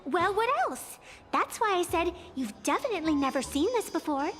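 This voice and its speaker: A woman's voice: very high-pitched, expressive, moderate pace, a bit bright. An actress voicing a cheeky, imperious female trickster in a video game, breezy, showy and teasing. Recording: long reverb, music underneath.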